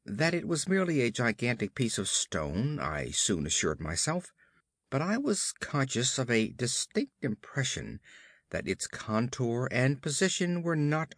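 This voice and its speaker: A man's voice: mid-range voice, no echo, clean studio sound. A man reading a horror story as an audiobook narrator, with formal, theatrical emphasis and dramatic delivery.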